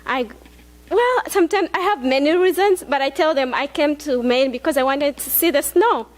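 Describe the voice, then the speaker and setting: Young woman from Rwanda, high voice. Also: moderate pace, slight background noise. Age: young adult. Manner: earnest, conversational, with frequent fillers and restarts. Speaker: student speaker. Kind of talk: personal talk on stage. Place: large dark auditorium stage. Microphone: headset.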